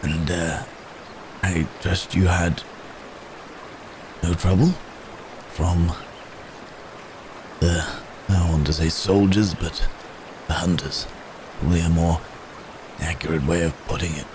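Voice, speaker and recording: A man playing a wounded, gentle cursed werewolf in an ASMR roleplay, halting and hesitant, with pained sighs and hums. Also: sits low, steady tone, background noise. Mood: weary, polite. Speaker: audio roleplay performer.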